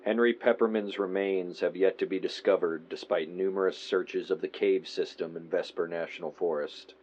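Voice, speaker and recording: An actor playing a detached, matter-of-fact narrator reading an official case report in an audio drama. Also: male voice, low-pitched, a little mellow, muffled recording.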